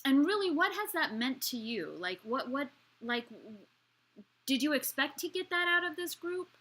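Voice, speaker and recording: Woman interviewer on a video call; bright, eager, trips over her questions. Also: high voice, big pitch swings, normal speed. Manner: enthusiastic, stumbles and restarts questions. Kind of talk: casual friendly interview.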